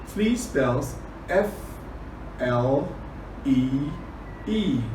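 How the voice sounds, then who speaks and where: A man from Liberia telling a children's story and teaching words, deliberate, repeating phrases for emphasis. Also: a little high, warm tone. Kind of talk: children's storytelling and word lesson. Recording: roomy, distant, clearly noisy recording.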